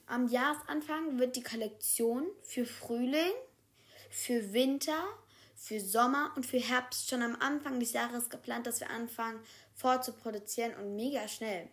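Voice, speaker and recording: A woman's voice: fairly high pitch, moderate pace, natural voice. A woman playing a chatty office worker who shows a new secretary around, rambling and correcting herself as she goes. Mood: friendly. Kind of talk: improvised solo role-play.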